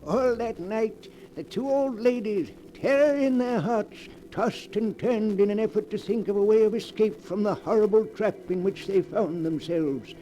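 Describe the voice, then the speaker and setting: A man narrating a 1940s radio thriller in an ominous, measured storytelling manner. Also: sits high, dark tone, low-quality recording. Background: music.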